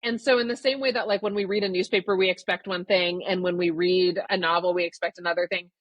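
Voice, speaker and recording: A woman from Canada, a guest author answering podcast interview questions in a thoughtful, measured way that qualifies her claims. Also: fairly low pitch, steady tone, no room sound, highs cut off.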